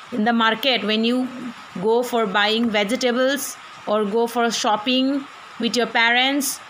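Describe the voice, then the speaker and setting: A woman's voice: high-pitched, sharp tone, a little hiss or hum, rough recording. A woman teacher with an Indian English accent, giving an online class and explaining a poem to students, asking them questions as she goes.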